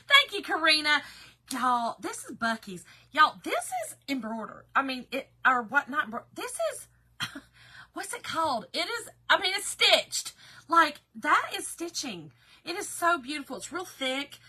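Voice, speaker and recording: Southern georgia lady on a craft livestream, bit hoarse, real high and bright, folksy chatter. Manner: folksy and chatty, calling viewers "girl" and "y'all". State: slightly hoarse. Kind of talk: casual live-stream chat greeting viewers.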